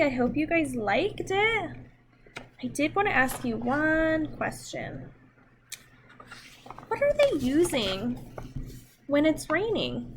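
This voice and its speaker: A woman reading a picture book aloud to young children, expressive and simple in her delivery, with dramatic pauses and emphasis. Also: high voice, slight background noise. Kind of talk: children's read-aloud storytelling.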